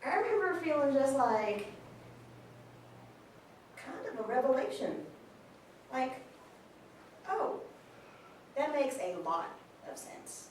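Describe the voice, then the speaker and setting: A woman playing a candid, warm-hearted friend in a casual monologue at a staged reading. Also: high voice, sing-song, slow, long pauses, full character voice. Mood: fond, candid. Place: live staged reading in a large room. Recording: very echoey hall, distant mic, faint noise.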